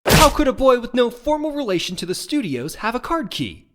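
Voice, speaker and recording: A man's voice: very high-pitched, sing-song, a little fast, fairly bright tone, full character voice. A man playing an earnest young defense attorney in a courtroom game, cocky yet nervous, shifting between dramatic declarations and wry asides. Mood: bold, forceful. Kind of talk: dubbed courtroom drama video game acting.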